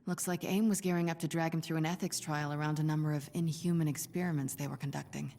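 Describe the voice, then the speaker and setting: A woman's voice: sits low, steady tone, quick pace, gravelly, mellow. A woman voicing a composed, dryly witty spy heroine in a video game, recorded in a reverberant space. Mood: calm, reassuring. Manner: dry, composed. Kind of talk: naturalistic video game voice acting. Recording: long reverberant hall echo.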